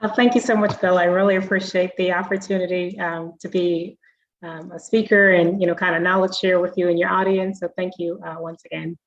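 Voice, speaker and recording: A young woman from the Bahamas, a guest answering interview questions in a friendly, measured way with frequent fillers over a video call. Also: fairly low pitch, flat delivery, dry recording. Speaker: guest, self-directed IRA specialist. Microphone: video call mic. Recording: dull, dry video call audio.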